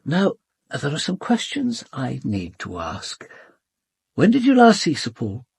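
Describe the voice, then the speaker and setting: An actor playing a reserved British police commander in a radio drama, speaking with formal, measured precision as he questions and narrates. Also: a little high, huge pitch swings, vocal fry, breathy edge, dry recording, studio quality, exaggerated voice. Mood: measured, probing. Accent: British English. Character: male police commander, measured, probing, reserved yet compassionate detective. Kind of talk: radio drama dialogue and first-person narration.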